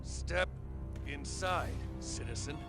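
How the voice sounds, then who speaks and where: A man's voice: fairly high pitch, slow. An actor playing a pushy man herding citizens along, in a video game cutscene. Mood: insistent. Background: music.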